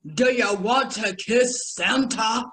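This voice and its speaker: A woman playing a jolly, crafty old department-store Santa in a radio comedy, speaking in a jovial, drawn-out way. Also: a bit bright. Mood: indulgent, amused. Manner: slow, booming, jovial drawn-out delivery.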